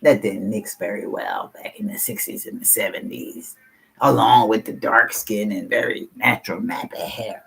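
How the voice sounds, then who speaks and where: A woman in her sixties with a deep, low voice, giving a rambling, frank personal share at a support meeting and swearing casually. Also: moderate pace, creaky, breathy edge, in a whisper, low-quality recording. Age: 60s. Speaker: meeting participant sharing.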